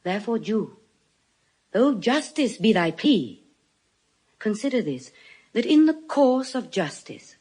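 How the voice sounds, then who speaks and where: An actress playing an eloquent, solemn young lawyer in an audio Shakespeare drama, measured and earnest, often dropping to hushed emphasis. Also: female voice, a little high, slightly rough, full character voice. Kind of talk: classical stage acting in audio Shakespeare.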